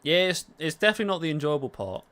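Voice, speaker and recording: A man's voice: fairly high pitch, sing-song, racing, sharp tone. A man with a southern accent chatting casually and self-deprecatingly as he livestreams a game. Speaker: game streamer and YouTuber. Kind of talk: casual livestream chat while gaming.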